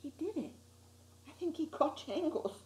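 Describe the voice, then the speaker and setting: A woman reading a children's picture book aloud with dramatic, deliberate emphasis on key words. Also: high-pitched, sing-song, darkish tone, a little hiss or hum. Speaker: storytime reader. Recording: roomy, echoey sound.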